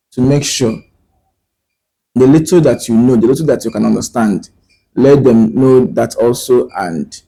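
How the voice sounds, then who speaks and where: A man's voice: medium pitch, warm tone, poor audio. A man with a Nigerian Igbo accent, teaching as a language host, speaking earnestly, code-switching between English and Igbo and checking in with rhetorical questions. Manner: rhetorical questions and pauses with fillers. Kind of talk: talking to camera about language.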